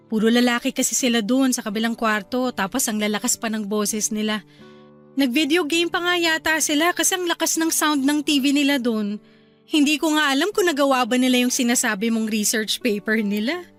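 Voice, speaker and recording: Radio drama wife, young, Filipino accent, high and tender, caring manner; female actor. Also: fairly quick, fairly bright tone, faint music behind, close to an everyday voice. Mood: mildly complaining. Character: gentle, affectionate young wife, quietly bothered, in radio drama.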